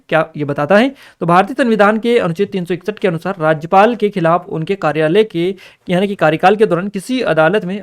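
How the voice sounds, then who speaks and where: A man with a high-pitched voice presents an exam-prep lesson. He speaks to his students directly, moves between explaining and reading out notes, and goes back over key points so they stick. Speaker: exam-prep teacher and channel host.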